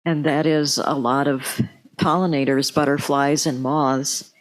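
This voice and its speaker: Slow-paced woman giving a talk. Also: low voice, fairly even intonation, rough recording. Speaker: presenter. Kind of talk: educational talk to a group.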